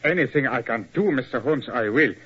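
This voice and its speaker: A man's voice: mid-range voice, expressive, brisk, breathy edge. An actor playing an anxious young German man under suspicion in a 1940s radio drama, earnest and pleading, on a lo-fi old broadcast. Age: young adult.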